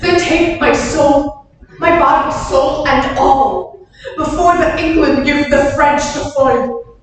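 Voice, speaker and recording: A woman acting a fierce young French warrior witch, high and slow, in a declaimed Shakespeare stage reading. She is incantatory and desperate, heard through a heavily echoing hall. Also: fairly even intonation. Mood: pleading, incantatory. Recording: distant mic, very echoey hall, faint noise.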